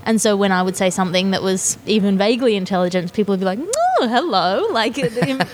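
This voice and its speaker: A woman with an Australian accent hosts a podcast, speaking articulately with quick, self-deprecating asides. Her voice sits at a middling pitch for a woman. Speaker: podcast host, comedian. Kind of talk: podcast conversation.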